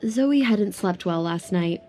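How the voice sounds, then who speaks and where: A woman's voice: fairly low pitch, animated, unhurried, mellow, a little hiss or hum. A woman narrating a scripted romance audio drama.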